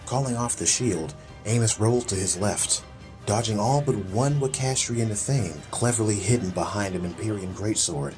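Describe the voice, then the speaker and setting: A man's voice: fairly low pitch, normal speed, a little mellow. Male audiobook narrator over music. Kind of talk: scripted dramatic story narration.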